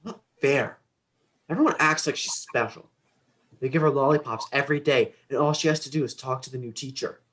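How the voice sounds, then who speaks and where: A man's voice: medium pitch, fairly quick, fairly bright tone, lightly acted. A man playing an indignant, sulky young boy in a dramatic script reading. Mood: sulky envy.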